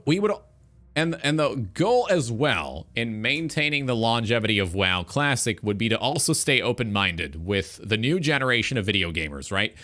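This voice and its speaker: A man in his twenties hosting a talk show, speaking in an earnest, philosophical, halting monologue. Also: medium pitch, sharp tone. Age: 20s. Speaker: talk show host, game streamer.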